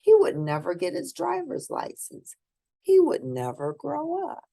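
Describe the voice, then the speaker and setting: A woman reading a children's picture book aloud, expressively, with theatrical, drawn-out emphasis for young listeners. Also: sits high, wildly swooping pitch, creaky, mellow, no room sound. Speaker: storybook reader.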